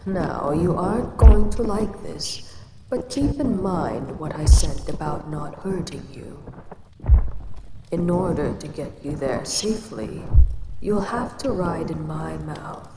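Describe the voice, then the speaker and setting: A woman voicing a giant ancient female kaiju queen in an audio roleplay, with slow, measured, lordly speech. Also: deep voice. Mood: reassuring. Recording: echoey reverb with ambient noise.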